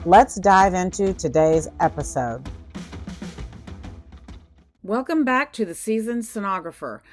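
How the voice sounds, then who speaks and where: A woman in her fifties hosting a podcast, delivering a polished scripted intro and interview questions in an even voice. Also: mid-range voice, unhurried. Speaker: podcast host, sonographer. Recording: dry close mic with faint music. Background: faint music.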